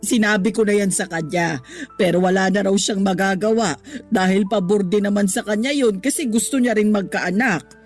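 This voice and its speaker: A woman's voice: medium pitch, normal speed, faint music behind, close to an everyday voice. Actress as a wounded, plaintive Filipino mother. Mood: hurt, resigned. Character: hurt, defensive middle-aged mother, heartbroken over romance. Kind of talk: Tagalog radio drama acting.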